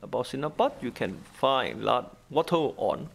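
A man's voice: mid-range voice, sing-song, normal speed, gravelly, breathy edge. A man giving casual gameplay commentary in non-native, broken English, thinking aloud in short, repeated phrases. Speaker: gaming video host.

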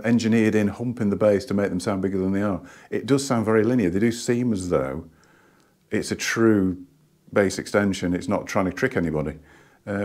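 Hoarse middle-aged man, rambling review. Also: lowish voice, darkish tone. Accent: northern English. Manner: rambling, hesitant, many restarts and ums. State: hoarse from a cold. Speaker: hi-fi shop owner reviewing speakers. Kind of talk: casual product review. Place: living room at home, indoors.

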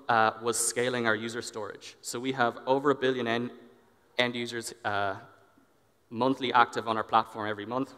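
Male conference speaker, middle-aged, crisp forward tone, big reverberant stage sound. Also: medium pitch, pushed and bright. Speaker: engineering director, conference speaker. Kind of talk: conference presentation. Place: large indoor stage in a conference hall. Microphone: headset. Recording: long hall reverb from stage PA.

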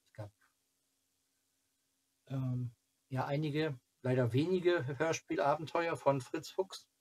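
Casually rambling man, slightly husky voice. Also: a little high, takes it slowly, darkish tone. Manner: rambling, thinking aloud. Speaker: host of a children's TV fan club channel. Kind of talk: casual vlog chat to viewers. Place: small cluttered room at home, indoors. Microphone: camera or webcam at arm's length.

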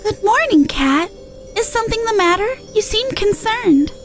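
A tiny, wondering spirit from a fantasy game, speaks in an extremely high voice. It is innocent, devoted and formal, with faint music behind. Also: female voice, lively intonation, moderate pace. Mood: gentle concern.

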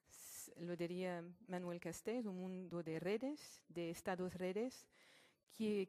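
A woman giving a public lecture as a guest speaker in non-native Spanish, halting as she searches for words. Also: fairly low pitch, darkish tone. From born in Morocco, French mother, Catalan family. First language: not Spanish; French-schooled. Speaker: guest speaker, sociologist and activist.

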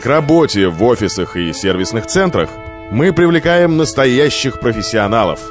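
A man reading a scripted corporate promotional narration in measured, deliberate phrases. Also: medium pitch, sing-song. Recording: music under the voice. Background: music.

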